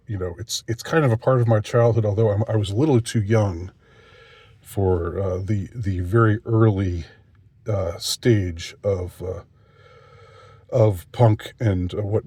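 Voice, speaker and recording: A middle-aged man with a congested, stuffy voice, rambling through a halting solo monologue full of fillers and restarts, with a wry, self-deprecating manner. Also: on the low side, darkish tone, husky, no echo. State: nasally congested. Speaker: vlogger.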